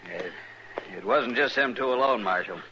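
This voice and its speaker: Actor as a forceful western rancher. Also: male voice, mid-range voice, normal speed, lightly acted. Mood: disbelieving. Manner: blunt, rustic. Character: gruff frontier rancher leading a posse, father. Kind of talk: old-time radio western drama acting. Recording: dull, lightly noisy old radio recording.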